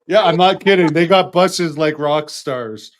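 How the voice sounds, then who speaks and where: Man, interview host, eager and informed, rather high voice. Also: a little slow. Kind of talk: casual interview chat.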